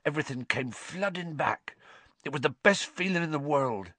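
A man voicing a huge, tearful male groundskeeper who talks in a rough dialect. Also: expressive, moderate pace, fairly bright tone, no room sound. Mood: haunted. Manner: rough dialect speech, low and mournful.

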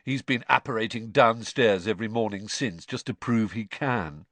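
A man's voice: fairly low pitch, moderate pace, fairly bright tone, dry recording. A man voicing a cheeky, grumbling teenage wizard boy with a British accent in an audiobook.

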